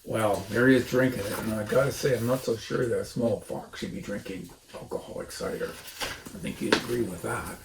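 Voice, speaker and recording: A man's voice: medium pitch, moderate pace, creaky. A man hosting a story time, reading aloud theatrically with chatty, casual asides. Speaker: storybook reader and host. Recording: roomy echo, faint noise.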